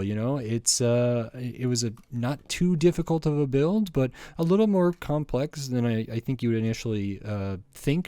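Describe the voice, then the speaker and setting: A middle-aged man giving a casual hands-on review, speaking in a halting, hesitant way with frequent pauses. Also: mid-range voice, mellow, dry recording. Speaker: toy review channel host.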